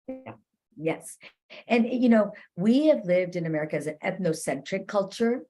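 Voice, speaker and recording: A woman's voice: mid-range voice, expressive, normal speed, mellow. A woman giving advice as an interview guest, explaining conversationally and often checking in with the listener. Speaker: interview guest, cultural competency expert.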